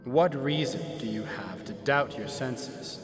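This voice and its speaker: A man's voice: medium pitch, expressive, slow pace, over music. An actor playing a stern, mournful male ghost in an audio drama, with solemn, wailing declamation and heavy reverb. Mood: accusing. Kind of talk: audio drama acting of a Victorian tale. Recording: long hall-like reverb.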